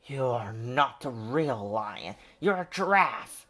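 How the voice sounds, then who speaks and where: A man's voice: mid-range voice, lively intonation, takes it slowly, a bit bright. A majestic storybook lion, played by a man. It roars haughtily and sounds imperious. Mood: haughty. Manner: roaring, imperious.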